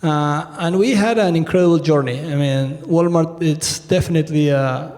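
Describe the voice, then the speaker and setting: A man in his fifties with an Argentine accent gives a keynote to a conference crowd. He speaks in a loose, conversational way, full of fillers and restarts. His voice sits a little high, and it carries a long reverb from the big hall. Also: normal speed, a little mellow. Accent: Argentine Spanish. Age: 50s. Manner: conversational, many fillers and restarts, addresses audience directly. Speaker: retail executive keynote speaker.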